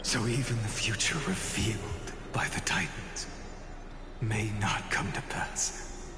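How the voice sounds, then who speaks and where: A man playing an earnest, gentle young hero in an anime game, solemn and expressive. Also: lowish voice, slow pace. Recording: echoey reverb under loud music. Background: loud game music.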